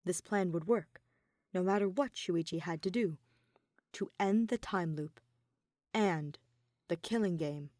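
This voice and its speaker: A woman reading dramatic fan fiction narration in a deliberate way, pausing between phrases. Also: on the low side, mellow, dry recording, studio quality. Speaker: podfic reader.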